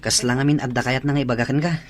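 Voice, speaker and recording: A woman's voice: extremely low pitch, fairly even intonation, racing, crowd noise. A woman playing an easygoing, joking teenage student in an audio drama. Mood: unsure.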